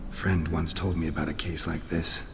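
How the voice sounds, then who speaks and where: An actor playing a gruff, stoic monster hunter in a fantasy game, speaking tersely in a restrained, matter-of-fact way. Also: male voice, low voice, little pitch movement, normal speed, background noise, natural voice. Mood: flat, matter-of-fact. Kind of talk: cinematic fantasy game acting.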